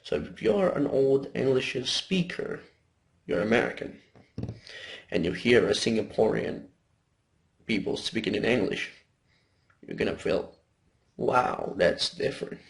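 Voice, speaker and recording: A man's voice: fairly low pitch, lively intonation, measured pace, vocal fry, long pauses. A young man with an Indonesian accent gives an informal class presentation, explaining loosely with self-corrections. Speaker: student presenter.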